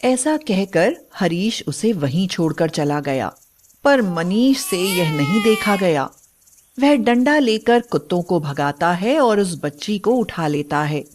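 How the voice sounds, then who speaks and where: A woman narrator reads a scripted tale. Her voice is fairly low for a woman, and she takes her time, pacing each sentence slowly. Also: lively intonation, a little mellow.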